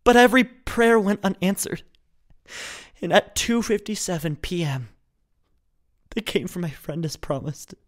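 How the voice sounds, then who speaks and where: A man's voice: sits high, animated, a little slow, long pauses. An actor playing a grief-stricken, haunted young man in an emotional, solemn audio drama performance. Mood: anguished recounting.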